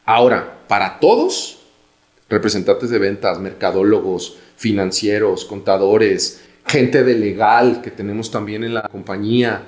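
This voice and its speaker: A man in his forties with a Mexican accent, giving career advice as an interview guest in a measured, explanatory way. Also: on the low side, echoey room. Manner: measured, explanatory, repeats phrases for emphasis.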